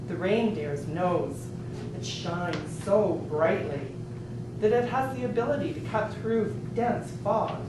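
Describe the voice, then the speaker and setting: A woman playing a formal, deliberate prosecutor in an amateur stage courtroom play. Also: fairly low pitch. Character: stern woman prosecutor in a mock Christmas trial. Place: echoey room at a club meeting. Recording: echoey room from far off, noisy.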